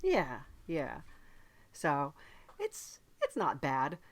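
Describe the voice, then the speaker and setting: A woman hosts a casual, playful interview in a lowish voice. She is chatty and agreeable, with a hesitant delivery. The recording is dry, with no room sound. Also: wildly swooping pitch, very slow, no room sound. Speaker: podcast host. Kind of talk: casual in-character comedy interview.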